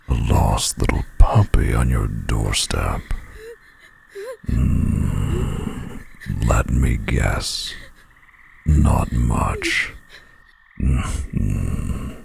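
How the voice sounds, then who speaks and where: Very deep gravelly werewolf villain. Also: male voice, darkish tone, slight background noise, heavily acted. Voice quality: very deep gravelly growl, often breathy. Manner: slow, taunting, mock-polite menace. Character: menacing male werewolf pack leader, sadistic villain. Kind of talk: dramatic audio roleplay acting.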